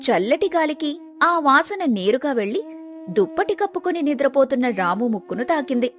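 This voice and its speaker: A woman's voice: sits high, sing-song, fairly quick, soft background music. A woman giving scripted narration of a moral village story.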